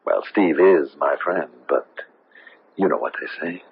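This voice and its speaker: An actor playing a secretive would-be lover who is easily made uneasy, speaking in an earnest, theatrical radio mystery manner. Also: male voice, rumbling low, measured pace. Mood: smitten, earnest. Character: smooth would-be lover, secretive man turning uneasy when cornered. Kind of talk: 1970s radio mystery drama acting. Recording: noisy, tinny band-limited old radio broadcast.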